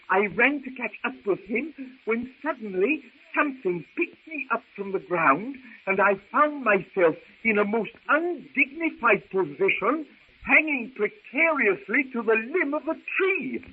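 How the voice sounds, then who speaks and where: An actor playing a doddering, long-winded old professor in a 1930s radio serial, pompous and absent-minded, stammering through formal speech. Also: male voice, high-pitched, animated, measured pace, a little hiss or hum. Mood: fussy, flustered. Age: older. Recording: tinny, band-limited old radio recording.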